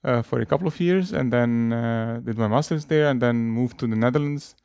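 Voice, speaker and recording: A man's voice: medium pitch, fairly quick, gravelly, darkish tone, clean tone, dry recording. A man in his thirties, chatting as an interview guest with a mid-range voice. He talks in a loose, hesitant way and laughs easily. The sound is dry and close. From Lebanon. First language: Arabic and French. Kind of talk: relaxed conversational interview.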